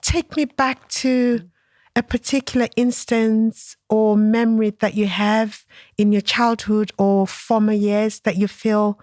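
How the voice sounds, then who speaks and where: A woman hosting an interview, encouraging and quick to affirm her guest. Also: medium pitch, little pitch movement, a little mellow, no echo, clean studio sound. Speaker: host. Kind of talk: podcast interview.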